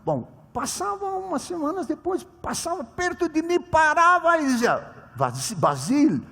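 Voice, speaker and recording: Older pastor preaching in a reverberant hall. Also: male voice, very high-pitched, very animated, measured pace, vocal fry. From immigrant to Brazil. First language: not Portuguese. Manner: dramatic, swings between loud proclamation and quiet storytelling. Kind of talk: sermon with personal story.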